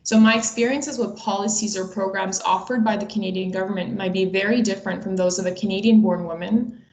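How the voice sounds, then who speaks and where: A woman in her twenties delivers a prepared webinar presentation. Her mid-pitched voice has a noticeable creak, and she explains each point in a measured way. The sound is roomy, with audible echo around her words. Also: darkish tone. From Bosnia and Herzegovina. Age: 20s.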